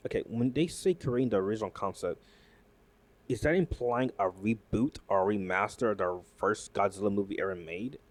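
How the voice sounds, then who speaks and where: A man reacting to news in casual commentary, excitable and rambling, full of fillers and restarts. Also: on the low side, a little fast. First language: not Japanese. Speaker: YouTube commentator.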